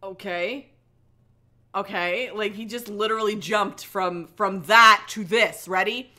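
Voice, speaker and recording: A woman livestream host cold-reading aloud with mocking asides, animated, sarcastic and giggly, with casual swearing. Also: medium pitch, takes it slowly.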